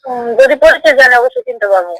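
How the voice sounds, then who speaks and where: Bright, high-voiced Bangladeshi woman on phone. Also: animated, quick pace, dry recording, poor audio. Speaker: phone call recipient. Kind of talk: casual phone conversation. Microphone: phone call.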